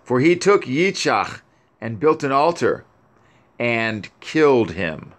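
A man's voice: medium pitch, fairly bright tone. A man reading Satan as a meek old man.

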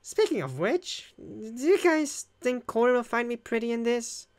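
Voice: mid-range voice, very animated, unhurried, voice pushed up. A gamer voicing an anxious, melodramatic young woman student in a visual novel game. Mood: anxious, lovesick. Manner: insecure, melodramatic.

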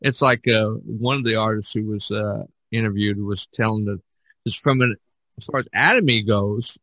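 A man's voice: lowish voice, moderate pace, bright, forceful tone, dry recording. A man hosting a casual podcast discussion, rambling along, often repeating himself and trailing off. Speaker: podcast host.

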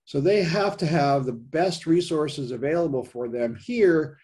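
Older man lecturing, slightly high-pitched voice. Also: lively intonation, measured pace, darkish tone. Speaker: presenter, science writer giving a nature talk. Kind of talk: informal slide lecture over video call. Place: indoors at home, on a video call.

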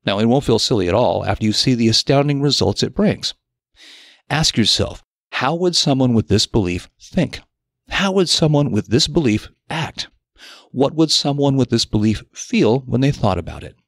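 Animated male self-help narrator. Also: mid-range voice, normal speed, no echo, studio quality. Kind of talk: scripted audio article narration.

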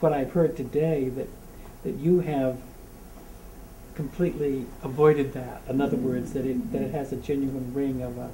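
A man speaks up at a book discussion, stopping and restarting as he works through his point. His voice is soft and mellow and heard from across a roomy hall. Light noise runs underneath. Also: mid-range voice, level tone. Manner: halting, many restarts and fillers. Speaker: audience member. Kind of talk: casual discussion. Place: community meeting room. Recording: echoey room from a distance, faint noise.

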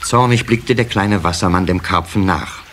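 A man's voice: low-pitched, normal speed, a bit bright, a little hiss or hum. A man narrating a scripted children's story in a radio play.

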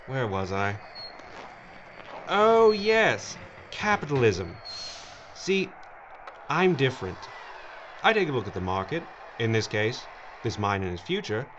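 Man acting a smug, slow-talking mad villain. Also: mid-range voice, a bit bright, lo-fi sound, slight background noise. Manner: mocking, theatrical, drawling. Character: gleeful mad villain, smug greedy schemer. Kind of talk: audio drama acting.

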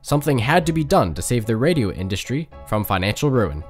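A man narrates a documentary from a script, his medium-pitched voice moving with lively intonation. Faint music plays underneath. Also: a little fast.

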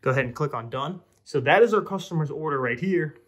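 A man's voice: a little high, animated, fast talker, a bit bright. A man presenting an informal tutorial, explaining a product step by step and talking directly to viewers. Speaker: presenter of a restaurant equipment tutorial.

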